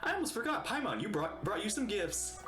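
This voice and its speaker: A man giving excitable, playful, casual reaction commentary as a game streamer. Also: sits high, fairly quick. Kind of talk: casual gameplay reaction commentary, reading lines aloud. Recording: roomy echo. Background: game music.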